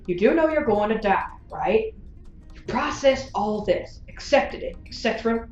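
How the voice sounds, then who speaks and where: An actress playing a wry, blunt, independent space gunslinger in a science fiction audio drama. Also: female voice, on the high side, lively intonation, a little slow, darkish tone, reverberant, lo-fi sound, faint music behind. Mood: needling, earnest.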